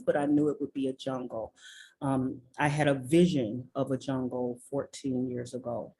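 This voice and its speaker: A woman from Michigan telling her story as an interview guest, slow and earnest, with frequent ums and restarts over a video call. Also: rumbling low, warm tone, slightly rough, rough recording. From Michigan, USA. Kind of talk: reflective personal storytelling. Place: rural village in southern Belize.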